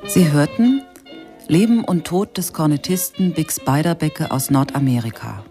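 A woman's voice: deep voice, normal speed, music in the background. A woman announcer formally reading out scripted radio drama credits.

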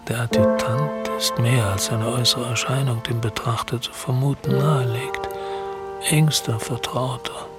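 An actor as a solemn male narrator of a literary radio drama, delivering formal, measured narration. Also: medium pitch, steady tone, close to an everyday voice. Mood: solemn, hushed. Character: solemn, omniscient male narrator of palace intrigue. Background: prominent music.

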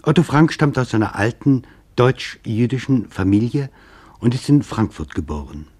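A man narrating a scripted literary radio documentary in a solemn, deliberate, formal manner, with a husky voice. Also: medium pitch, expressive, a little mellow.

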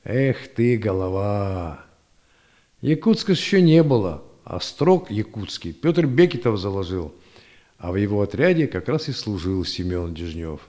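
An actor playing a grandfather who tells history in a folksy, animated, gently teasing way in an audio play. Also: fairly low pitch, unhurried. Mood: animated storytelling. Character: old grandfather storyteller teaching his grandchild, lively and gently chiding. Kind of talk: audio play storytelling narration.

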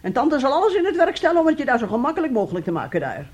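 A woman's voice: sits high, huge pitch swings, racing, darkish tone. A woman acting a stern, middle-aged mother in a radio play, scolding and commanding. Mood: fed up, stern. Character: stern, exasperated mother laying down the law.

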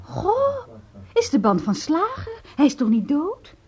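An actress playing a chatty, curious studio colleague in a Dutch radio play. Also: female voice, very high voice, huge pitch swings, takes it slowly, darkish tone, exaggerated voice. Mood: curious, amused. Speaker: radio drama actor. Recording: muffled, band-limited radio play audio.

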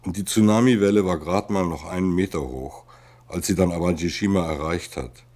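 A man's voice: deep voice, fairly quick, a little mellow. A man voicing a sober, critical observer of a disaster in a scripted radio monologue.

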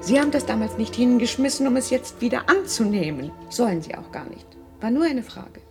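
A woman's voice: fairly high pitch, lively intonation, a little fast. Middle-aged lady boss, formal, courteous, authoritative, radio drama, music underneath. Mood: businesslike. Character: composed, businesslike female employer with a grown son. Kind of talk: German radio drama acting.

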